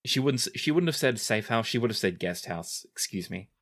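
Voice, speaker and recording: Tabletop rpg player narrating on the fly, kinda hesitant, thinking out loud, medium pitch. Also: lively intonation, brisk. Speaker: player or game master in a tabletop roleplaying game. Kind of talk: improvised roleplay mixed with narration.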